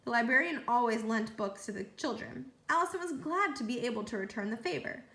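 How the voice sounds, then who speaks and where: A woman reading a children's book aloud as a storyteller, with animated delivery. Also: fairly high pitch, a little fast. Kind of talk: read-aloud storytelling narration. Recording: roomy echo.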